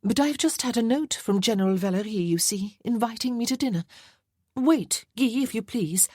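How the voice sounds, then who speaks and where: Woman doing a playful sly noblewoman, high lilting voice, dry close sound. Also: expressive, normal speed, a little mellow, no echo. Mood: coquettish. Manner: lilting, coquettish, airy.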